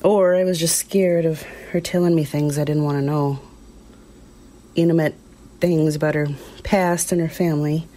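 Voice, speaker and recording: An actress playing a weary, uneasy small-town woman, recollecting in a hesitant, plainspoken, confiding way with pauses, as testimony in an audio drama. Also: sits low, a little mellow, full character voice. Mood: uneasy, reflective.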